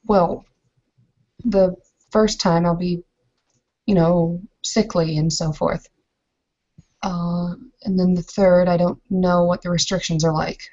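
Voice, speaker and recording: A woman's voice: lowish voice, little pitch movement, slow, long pauses, a little mellow, lo-fi sound. A woman playing a composed, frank Bavarian bride in a tabletop roleplay, hesitating as she searches for words. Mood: matter-of-fact.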